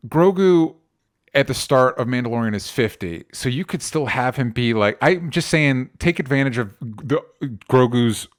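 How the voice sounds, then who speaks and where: Mid-pitched man in casual chat. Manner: informal, rambling, conversational with swearing. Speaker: co-host of a film talk podcast. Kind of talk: casual chat, movie news and opinion.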